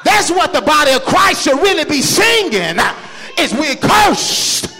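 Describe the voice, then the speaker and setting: A man preaching a fiery sermon with emphatic, rhythmic repetition and colloquial phrasing, often rising into shouts and sing-song. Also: very high-pitched, big pitch swings, a little slow, a faint crowd, a little hiss or hum. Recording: echoey hall with long reverb.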